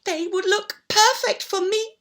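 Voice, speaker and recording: A woman's voice: very high-pitched, unhurried, fairly bright tone, voice pushed up, exaggerated voice. A woman voicing an eager, delighted lady shopper who gushes politely in a children's story.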